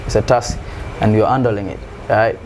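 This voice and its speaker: A man's voice: lowish voice, normal speed. A man from South Sudan, a musician answering interview questions in an earnest, searching way, often repeating words as he finds his phrasing. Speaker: musician guest. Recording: steady background noise.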